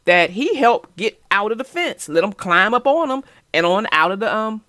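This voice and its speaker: Woman hosting kids book chat, folksy, super animated, dry clean room sound. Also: medium pitch, moderate pace, no echo. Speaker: host of a children's read-aloud show. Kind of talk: casual chat about a picture book.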